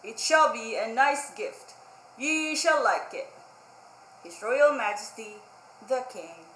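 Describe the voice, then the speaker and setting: A woman's voice: on the high side, animated, takes it slowly, fairly bright tone, echoey room, slight background noise, rough recording. A woman voicing a proud, fed-up storybook king who makes pompous, declamatory royal proclamations in old-fashioned phrasing. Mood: grand, declaring.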